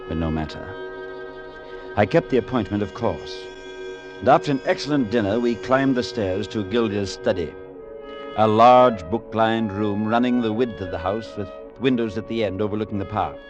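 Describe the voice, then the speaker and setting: Low-voiced, earnest clergyman played by a man, muffled radio. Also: natural voice. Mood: grave, reflective. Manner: measured, grave, formal storytelling. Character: earnest, courteous, compassionate clergyman recounting a dark tale. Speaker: actor and narrator in a radio drama. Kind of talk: old-time radio drama acting. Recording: muffled old radio recording with music.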